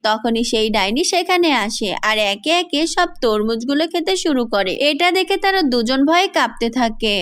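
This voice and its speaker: A woman's voice: high-pitched, expressive, moderate pace, hardly any pauses, fairly bright tone. A woman giving scripted narration of a story for young children.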